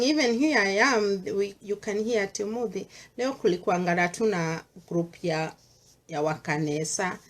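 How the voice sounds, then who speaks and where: Earnest middle-aged Kenyan woman, personal vlog monologue, medium pitch, mixing in Swahili. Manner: earnest, mixes English with Swahili. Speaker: vlogger sharing personal views.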